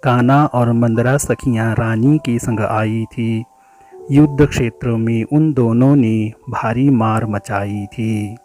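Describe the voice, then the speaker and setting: A man reciting a poem in a measured, declamatory way, drawing out the ends of lines. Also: mid-range voice, steady tone, a little mellow, faint music behind. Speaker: poetry reciter. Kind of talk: dramatic Hindi poem recitation.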